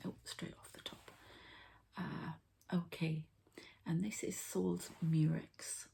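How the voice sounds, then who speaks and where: Woman, heavy vocal fry, soft dark tone, breathy ASMR whisper, hushed unhurried card reader. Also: sits low, level tone. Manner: hushed, unhurried, murmuring to the listener.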